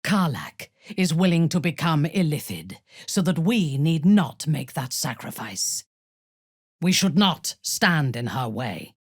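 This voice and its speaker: A woman playing a proud, ruthless dark elf commander in a video game, speaking formally and haughtily in a breathy, husky voice. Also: low-pitched, big pitch swings, no room sound, clean studio sound, exaggerated voice. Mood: grave. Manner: measured, haughty, formal diction. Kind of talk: video game character dialogue.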